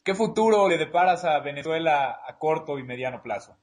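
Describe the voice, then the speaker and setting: Slightly high-voiced male interviewer. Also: lively intonation, brisk. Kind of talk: conversational interview questions.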